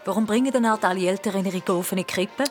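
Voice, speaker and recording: A woman's voice: mid-range voice, quick pace, soft background music, natural voice. A woman playing a blunt, cheeky office worker with a Swiss accent in a radio play. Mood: snippy. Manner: cheeky, unimpressed, judgmental. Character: blunt, snippy female office worker at trade firm. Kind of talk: naturalistic radio play acting.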